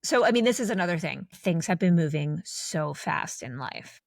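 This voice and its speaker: A woman's voice: fairly low pitch, lively intonation, moderate pace, gravelly, dry recording, studio quality. A woman in her forties co-hosting a podcast, talking candidly in a rambling, casual way with many restarts. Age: 40s. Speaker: podcast co-host.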